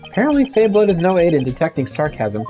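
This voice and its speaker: A man voicing a dry, sarcastic young male defence lawyer in a video game, with a deadpan delivery. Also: high-pitched, quick pace, faint music behind. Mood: wry.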